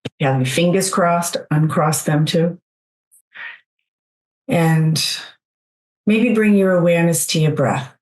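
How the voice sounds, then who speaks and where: A woman leading a guided meditation in a soothing, gentle, unhurried way, leaving long pauses between phrases. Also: on the low side, rough recording.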